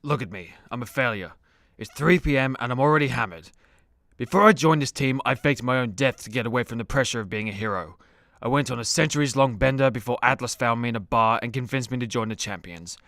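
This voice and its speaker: A man's voice: medium pitch, brisk, fairly bright tone, dry recording, clean studio sound, close to an everyday voice. A man playing a miserable, drunk, washed-up immortal hero in a comedy radio play.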